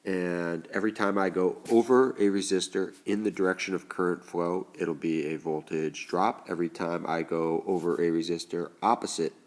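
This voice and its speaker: Very deep-voiced congested male teacher. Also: steady tone. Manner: slow, deliberate explaining while writing. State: congested, stuffed up. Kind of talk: recorded classroom lesson.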